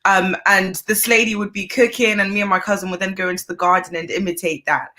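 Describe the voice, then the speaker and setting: A young woman chef from Zimbabwe, chatting casually as an interview guest, warm and quick to laugh. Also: medium pitch, steady tone. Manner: chatty, laughs easily, uses fillers.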